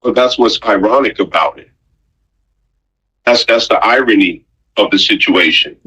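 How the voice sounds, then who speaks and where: A man's voice: lowish voice, normal speed, bright, forceful tone, husky, rough recording. A man giving an opinionated, conversational monologue, blunt and emphatic, with rhetorical questions and pointed repetition. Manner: blunt, profane slang.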